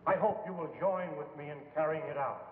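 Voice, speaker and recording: A man's voice: on the high side, brisk, in a large hall, close to an everyday voice. A man playing an embattled, earnest scientist in 1950s film style, speaking in a formal, declamatory way. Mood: urgent, foreboding. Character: embattled, earnest male scientist pleading to save mankind. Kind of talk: 1950s film acting, oratorical. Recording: hollow, tinny old film soundtrack, hiss.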